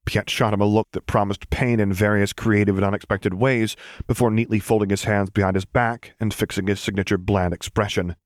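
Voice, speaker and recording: A man's voice: on the low side, steady tone, fairly quick, no echo, studio quality. A man reading scripted fiction as an audiobook narrator.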